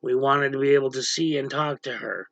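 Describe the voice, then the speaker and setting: A man hosting a casual livestream with a gravelly voice, talking bluntly to viewers and snapping at chatters who annoy him. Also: mid-range voice, steady tone, fairly quick, a bit bright, dry recording. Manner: blunt, irritated, snaps at chatters. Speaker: livestream host. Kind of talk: casual livestream chat reading viewer comments. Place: dim room at home, power out.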